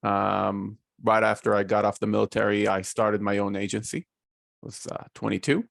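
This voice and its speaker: A gravelly, fairly low-voiced man with an Israeli accent speaks in a measured, step-by-step way over a video call. His voice sits close and dry, with no room sound around it. He pauses on fillers as he explains. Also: steady tone, a bit bright, no echo. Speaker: guest. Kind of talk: casual interview talk.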